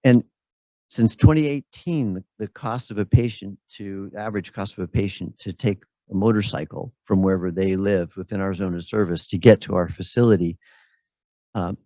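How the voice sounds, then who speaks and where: Deep-voiced man, dull dry recording. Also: normal speed, warm tone, no echo. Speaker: birth center operator, symposium participant. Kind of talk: spontaneous comment at a symposium. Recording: dull lo-fi audio.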